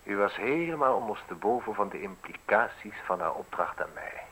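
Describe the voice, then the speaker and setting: A man's voice: on the low side, animated, moderate pace, a bit bright, slight background noise, poor audio. A man acting a ruthless, mocking journalist in a radio play, articulate and insinuating as he interrogates. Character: probing, mocking, ruthless male newspaper journalist needling an official.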